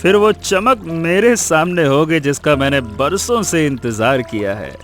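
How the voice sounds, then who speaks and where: A man playing a greedy, excitable treasure hunter in a melodramatic story, feverishly repeating his words. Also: fairly high pitch, a little slow. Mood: gloating, craving. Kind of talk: melodramatic animated story acting. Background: music.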